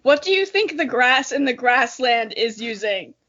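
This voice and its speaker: A woman's voice: on the high side, lively intonation, moderate pace, a bit bright, highs cut off. A giggly, self-mocking woman co-hosting a podcast, chatting casually and reading a story aloud. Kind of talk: casual chat and amateur dramatic reading.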